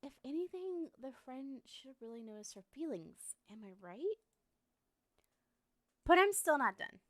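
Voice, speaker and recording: A woman's voice: high-pitched, sing-song, a little slow, a bit bright, no room sound, heavily acted. A woman playing a lovestruck, obsessive young woman in an ASMR roleplay, talking to the listener in a coy, rambling, hesitant way. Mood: insistent, defensive.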